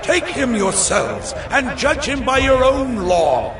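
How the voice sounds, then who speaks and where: A high-voiced, exasperated Roman governor. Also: male voice, huge pitch swings, slow pace, crowd noise, exaggerated voice. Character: Pilate, questioning Roman governor, exasperated authority. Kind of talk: dramatized scripture acting.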